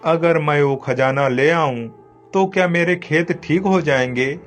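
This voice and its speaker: A man playing a poor, timid farmer and devoted family man in an animated story, speaking earnestly. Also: fairly high pitch, unhurried, soft background music, natural voice. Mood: earnest, surprised. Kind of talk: Hindi animated moral story acting.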